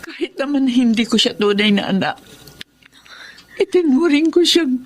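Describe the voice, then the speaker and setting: High, tearful middle-aged Filipina boss. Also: female voice, lively intonation, moderate pace. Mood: grieving. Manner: tearful, breathy, melodramatic. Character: grieving older sister and kindly female boss, tearful. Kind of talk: Filipino radio drama acting.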